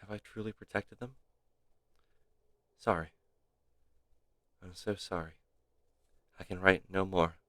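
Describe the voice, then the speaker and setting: A man streaming a game, reading its text aloud dramatically with measured, theatrical pauses. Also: low-pitched, steady tone, fairly bright tone, no room sound.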